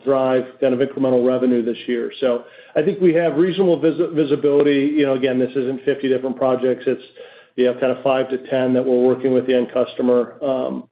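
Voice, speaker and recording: A man answers questions on a corporate earnings call as a company executive. His delivery is measured and halting, with a lively rise and fall in pitch. The audio is thin and narrow, like a telephone line. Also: gravelly. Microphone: conference call line.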